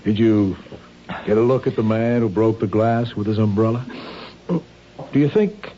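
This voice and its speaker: An actor playing an earnest, protective, questioning husband in a 1970s radio drama. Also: male voice, fairly low pitch, measured pace, dark tone, close to an everyday voice. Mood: grave, coaxing. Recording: dull radio recording with faint noise.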